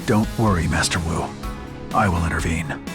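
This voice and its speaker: A man's voice: deep voice, moderate pace, lightly acted. An actor, a man, playing a stoic rabbit hero in a video game, with terse, dry delivery. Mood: resolute. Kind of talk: video game cutscene acting. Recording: game music behind the voice.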